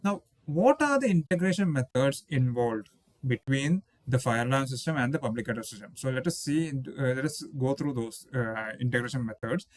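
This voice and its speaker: A man's voice: mid-range voice, lively intonation, normal speed, dry recording. A man in his thirties teaching an engineering lesson over slides, explaining step by step and often repeating and rephrasing himself with "okay" and "uh". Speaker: engineering instructor. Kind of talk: slide-based technical lecture. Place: indoors, voice-over on slides with small webcam inset.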